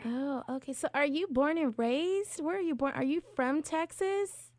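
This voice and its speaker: A woman with a fairly high voice, recorded dry and close, hosts an interview. She comes across friendly and enthusiastic, leaning on fillers as she frames her questions. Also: normal speed, a little mellow, dry recording. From California. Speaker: podcast host.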